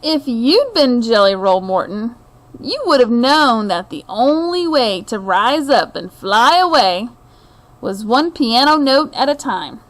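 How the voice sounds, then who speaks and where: A middle-aged woman hosts a picture book read-aloud for children in a high-pitched voice. She takes her time, telling the story expressively with deliberate phrasing and speaking directly to her listeners. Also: very animated.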